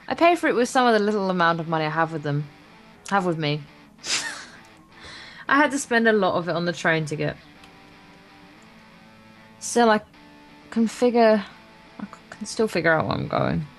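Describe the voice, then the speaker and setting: Woman kinda low voice reading a visual novel out loud for a let's play, trips on words, guitar music in back. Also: animated, a little slow, long pauses, low-quality recording. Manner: stumbles over words, breaks off for wry, sweary commentary. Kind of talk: reading visual novel narration aloud with casual asides. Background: acoustic guitar game music.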